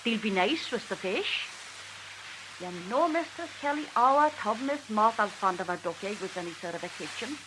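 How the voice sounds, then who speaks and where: A woman's voice: mid-range voice, moderate pace, close to an everyday voice. A woman reciting a dialect tale as a talkative, exasperated Manx country wife confiding in a neighbour, on a hissy 1940s archive recording. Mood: chatty, confiding. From Isle of Man. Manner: animated, confiding, repeatedly addressing her listener.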